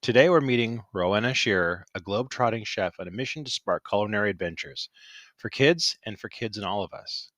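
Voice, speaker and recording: An older male host opens his show with a scripted, punchy introduction. His voice sits on the low side, and the recording is close and completely dry, with no room sound at all. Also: lively intonation, fairly quick, fairly bright tone, no echo. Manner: upbeat, punchy delivery. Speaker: podcast host.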